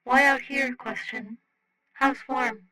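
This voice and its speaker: A small alien rock creature played by an audiobook reader, with a bright, forceful voice. It speaks blunt, cheerful broken English in clipped phrases, flagging its questions out loud like a translator device. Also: high voice, steady tone, takes it slowly, pitched above the performer's own voice, full character voice, rough recording. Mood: curious, matter-of-fact. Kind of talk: scripted fiction dialogue, translator voice.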